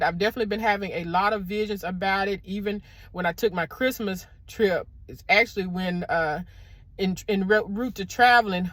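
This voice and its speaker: Middle-aged woman, motivational vlogger, loud deep voice, dry close lapel sound. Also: no room sound. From American South. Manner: warm preacherly encouragement, addresses viewers as y'all. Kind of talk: casual motivational talk to camera. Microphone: clip-on microphone.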